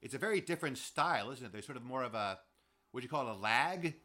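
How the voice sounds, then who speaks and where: Lively, bright-voiced male interviewer. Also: mid-range voice, fast talker. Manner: curious, probing questions with hedging phrases. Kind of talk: conversational interview.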